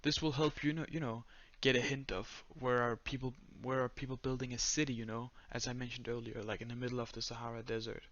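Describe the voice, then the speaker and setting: A young man with a Danish accent giving a step-by-step screen-recorded tutorial in a flat, monotone voice full of "uh"s and "um"s. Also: mid-range voice, fast, hardly any pauses, lo-fi sound. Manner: monotone, many fillers. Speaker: tutorial creator.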